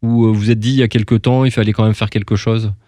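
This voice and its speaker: A man's voice: on the low side, little pitch movement, quick pace, mellow, no echo. A man hosting a casual music interview, rambling and repeating words as he forms his questions. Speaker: radio interviewer.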